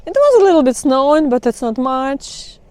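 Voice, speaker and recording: A woman's voice: sits high, sing-song, normal speed, a little mellow, no echo. A middle-aged woman with a Russian accent, chatting casually in a vlog, often laughing and phrasing things like a non-native speaker. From Kazakhstan. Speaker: vlogger. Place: outdoors by trees in melting ice.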